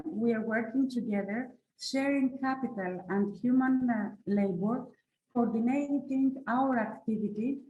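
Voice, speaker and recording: A woman from Greece with a Greek accent, speaking English as a museum director at an online conference, measured and hesitant with fillers. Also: on the high side, dark tone, reverberant, poor audio. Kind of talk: prepared conference talk. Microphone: video call.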